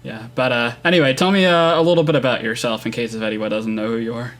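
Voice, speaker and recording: A young man hosting a casual online interview, easygoing and quick to agree with his guest. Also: medium pitch, steady tone, fairly bright tone, faint music behind. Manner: easygoing, affirming. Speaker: host, interviewer.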